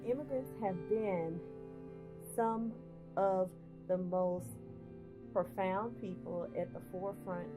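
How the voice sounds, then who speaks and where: A woman explaining immigration policy in a measured, explanatory way in an interview. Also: mid-range voice. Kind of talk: documentary interview. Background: guitar music.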